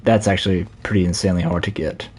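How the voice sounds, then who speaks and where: A man live-streaming a Minecraft session, chatting casually in a scratchy, slightly hoarse voice while he plays. He rambles, corrects himself and leans on fillers. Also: deep voice, steady tone, fast, a little mellow. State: hoarse from talking a lot.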